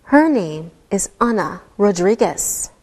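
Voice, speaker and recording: A woman teaching a beginner English lesson, speaking deliberately and enunciating every word clearly. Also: mid-range voice, very animated, a little mellow. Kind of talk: slow, scripted ESL lesson delivery.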